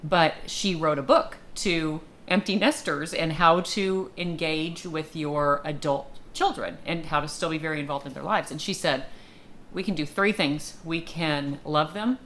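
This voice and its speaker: A woman's voice: low-pitched, measured pace, a bit bright. A middle-aged woman chatting reflectively and casually as she hosts a conversation. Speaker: conversation host. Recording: noticeable background noise.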